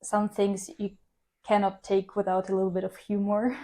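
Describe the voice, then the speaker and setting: A young woman whose first language is Russian speaks as a guest on a conversational podcast. Her voice is mellow and relaxed. She explains things thoughtfully and at length. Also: mid-range voice, moderate pace. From Russian-speaking household, living in Vienna.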